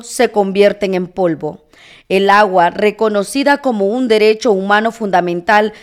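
A woman's voice: medium pitch, clean tone. Woman reading news commentary. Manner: measured, formal reading. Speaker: news reader. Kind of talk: reading an opinion piece on air.

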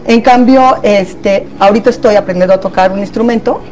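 A woman's voice: mid-range voice, fairly quick, darkish tone, soft background music. A woman with a Mexican accent, a therapist guest in a casual panel chat, talking in a chatty way with many tag questions.

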